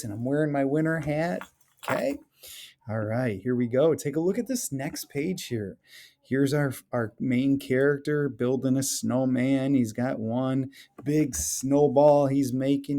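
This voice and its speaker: A man reading a picture book aloud as a teacher, chatting to children and explaining the pictures with expressive, drawn-out phrasing. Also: medium pitch. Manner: questions and chats to children, explaining illustrations.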